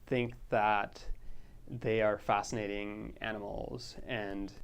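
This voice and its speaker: Smooth-voiced man from Costa Rica. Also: fairly low pitch, flat delivery, slow. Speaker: associate director of a research center. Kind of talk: interview about his work.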